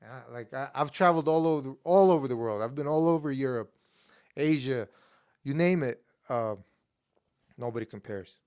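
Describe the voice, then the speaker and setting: Guest spot: young man with Armenian as his first language, smooth clear voice, mid-range pitch, easygoing storyteller who peppers his talk with "uh" and "like". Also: normal speed. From Estonia. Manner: relaxed, many fillers, acts out his younger self with rising excitement. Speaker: guest, MMA fighter. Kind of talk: casual interview storytelling.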